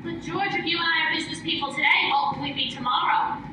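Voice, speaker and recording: A woman's voice: high-pitched, fast talker, close to an everyday voice. A woman playing a sweet, scatterbrained wife in a 1940s-style radio comedy, innocent and literal-minded. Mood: sweetly innocent. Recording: echoey hall, far from mic, noisy.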